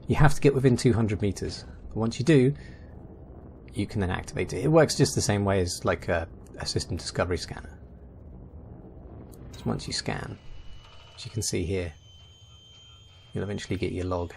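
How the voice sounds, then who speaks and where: Male gaming host, lowish voice, casual guide commentary over faint background noise. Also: normal speed, creaky, long pauses, darkish tone.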